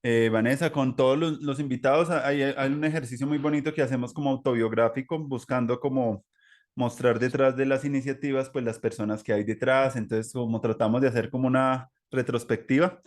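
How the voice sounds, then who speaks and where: A Colombian man with a mid-pitched voice hosts a conversational interview. He speaks reflectively, circling through long, halting phrases with many small filler words. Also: fairly even intonation. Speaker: interview host.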